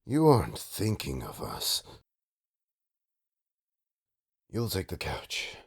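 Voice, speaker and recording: A man playing a bitter, taunting supervillain in an audio roleplay, with a raspy, gravelly voice and a sardonic, theatrical, halting delivery. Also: rumbling low, exaggerated voice. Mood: flustered, sardonic. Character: menacing, taunting male supervillain, bitter, grudgingly rehabilitating. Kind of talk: scripted audio roleplay drama.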